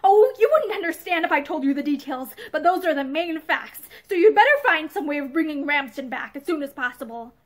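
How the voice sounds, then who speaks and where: A woman's voice: high voice, animated, normal speed, fairly bright tone. A woman voicing an angry, domineering middle-aged husband who talks harshly and savagely, in a storybook reading. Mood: raging.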